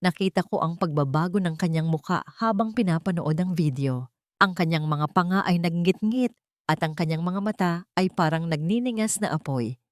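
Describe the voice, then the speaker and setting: A woman's voice: on the low side, normal speed, no room sound, clean studio sound. A woman narrating a scripted audio drama in an earnest, dramatic storytelling manner.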